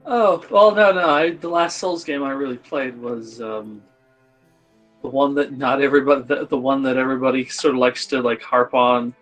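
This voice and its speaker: A man's voice: mid-range voice, steady tone, fairly bright tone. A man joking around and laughing as a player on a streamed tabletop game, with faint music behind. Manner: jokey, goofy, quick to laugh.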